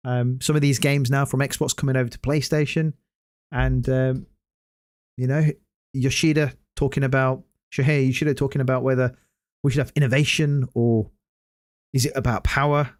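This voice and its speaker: A man hosting a casual solo gaming podcast, hoarse after hours of talking and rambling with many hesitant restarts. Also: medium pitch, little pitch movement, moderate pace. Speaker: podcast host.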